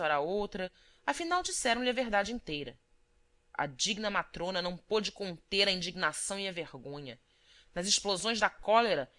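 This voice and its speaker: A woman reading a literary audiobook as narrator, in a formal, expressive manner. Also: mid-range voice.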